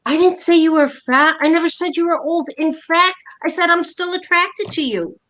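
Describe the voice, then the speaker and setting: A woman's voice: extremely high pitch, moderate pace, fairly bright tone. A woman playing a blunt, exasperated middle-aged mother and wife in an amateur staged play reading, brusque and matter-of-fact. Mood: impatient, defensive.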